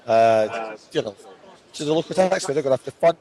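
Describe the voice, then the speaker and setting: A man's voice: mid-range voice, lively intonation, a little fast, dark tone. A man hosting a live broadcast with casual commentary, often repeating and restarting his phrases.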